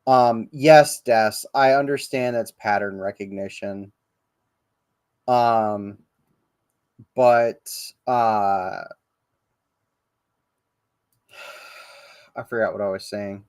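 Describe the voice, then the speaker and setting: Slow, rambling man hosting a podcast. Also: on the low side, darkish tone, smooth, no room sound. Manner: rambling, profane, hesitant with many ums. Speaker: podcast host. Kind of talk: casual livestream chat. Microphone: livestream podcast mic.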